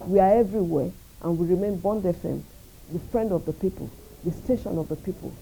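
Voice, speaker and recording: A Nigerian woman speaking as a radio station executive in an interview, measured, earnest and proud. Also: fairly low pitch, creaky, mellow, slight background noise, rough recording. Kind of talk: interview answers.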